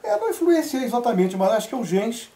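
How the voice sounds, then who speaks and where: A man's voice: extremely high pitch, reverberant. A man from Salvador, Bahia, telling stories about his life as a guest on a TV interview show. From Brazil (Salvador, Bahia). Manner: storytelling, measured, quotes others in lively asides. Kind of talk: relaxed TV interview talk. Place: TV studio.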